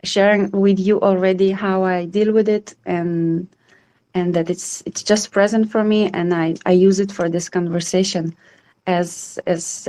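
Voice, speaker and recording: A woman's voice: mid-range voice, a little mellow. A woman in her thirties from Israel, hosting an online support group in a welcoming, reassuring way over a video call. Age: 30s.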